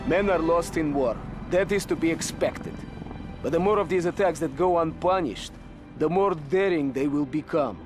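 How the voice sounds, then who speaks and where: Guy playing intense soviet captain, russian accent, high voice, vengeful n defiant, game cutscene w loud music. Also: normal speed, natural voice. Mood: cold resolve. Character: hot-headed, vengeful Soviet army captain. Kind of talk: video game cutscene acting.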